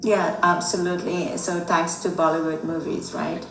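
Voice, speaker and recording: Woman, Dari native speaker, middle-aged, halting thoughtful delivery, roomy sound, music behind. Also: low-pitched, level tone, gravelly. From Afghanistan. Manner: thoughtful, many "um" and "uh" pauses. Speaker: guest. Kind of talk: conversational interview. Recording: echoey room, distant mic, music behind.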